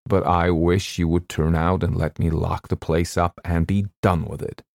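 A gruff, impatient ship's captain, voiced by a man reading aloud, speaks low and gravelly with clipped petulance. The recording is dry and close. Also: level tone, normal speed, darkish tone, dry recording. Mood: petulant. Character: petulant middle-aged male ship's captain.

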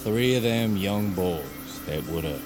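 An actor playing a cruel, gloating Southern plantation owner with a menacing, mocking drawl in an audio drama. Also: male voice, low voice, lively intonation, unhurried, noisy background. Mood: gloating, cold.

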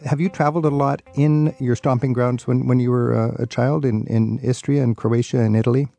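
Gravelly-voiced American radio host. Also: male voice, mid-range voice, fairly even intonation, fairly quick, mellow, faint music behind. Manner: earnest, conversational. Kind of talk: radio interview.

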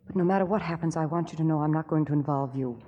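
A woman's voice: low-pitched, very fast, lo-fi sound. An actress playing a troubled young woman under suspicion, desperate and frightened, in 1940s radio drama style. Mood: hushed, resigned. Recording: old hissy radio recording, hall reverb, music.

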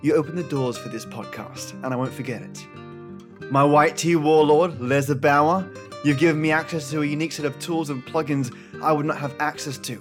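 A male podcast host with a slightly high voice reads his introduction over soft guitar music. His delivery is measured and earnest, with formal phrasing. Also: steady tone. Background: plucked guitar music.

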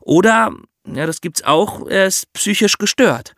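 A man's voice: high voice, animated, measured pace, a bit bright, no echo, studio quality. A man hosting a podcast, explaining things to his co-hosts in a conversational way with examples. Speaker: podcast host. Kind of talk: conversational true-crime explainer.

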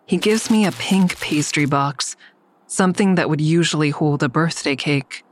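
An actress plays a young woman amateur sleuth in a fiction podcast. Her voice sits a little low, and she sounds anxious yet determined, speaking in a natural, understated way. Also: normal speed, lightly acted. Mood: confiding. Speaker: lead character and first-person narrator. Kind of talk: naturalistic audio drama acting.

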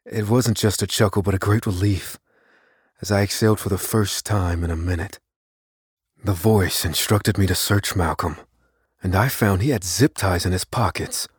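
An actor playing an unassuming, determined man who tells his own story as a secret vigilante in a measured, solemn audio drama narration. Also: low-pitched, husky, dry recording, studio quality. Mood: tense, reflective. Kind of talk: dramatic first-person scripted narration. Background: music under the voice.

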